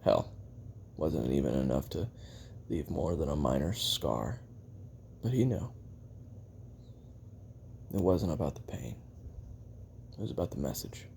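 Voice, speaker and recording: Man acting a deep-voiced, bitter, gravelly assassin. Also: animated, darkish tone, a little hiss or hum, exaggerated voice. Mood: brooding, reflective. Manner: brooding, profane, halting delivery with heavy pauses and sighs. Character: bitter, weary male assassin recounting his stolen childhood. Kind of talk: confessional dramatic monologue in audio roleplay.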